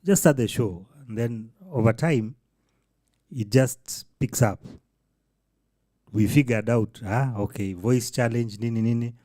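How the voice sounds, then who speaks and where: A man's voice: mid-range voice, a little slow, mellow, no room sound, studio quality. A man co-hosting a casual podcast, talking reflectively and mixing in Swahili phrases. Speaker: podcast co-host.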